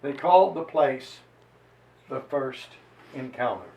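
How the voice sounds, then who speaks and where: A man giving a solemn, theatrical dramatized reading of a historical narrative, declaiming like a preacher with dramatic pauses and swells. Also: mid-range voice, gravelly, a little mellow, a little hiss or hum. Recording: roomy echo, distant microphone.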